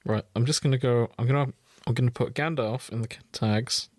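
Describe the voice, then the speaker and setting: A man's voice: mid-range voice, steady tone, fairly quick, no room sound, clean studio sound. A man co-hosting a casual podcast, chatting in a jokey, irreverent and sweary way. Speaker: podcast co-host. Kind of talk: casual banter.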